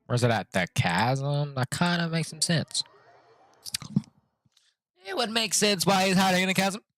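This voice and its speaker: A man's voice: fairly high pitch, lively intonation, a little slow, a bit bright, dry recording. Young male streamer, dry close mic. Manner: slangy, playful, muttering asides. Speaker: live streamer. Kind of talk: casual game stream chat.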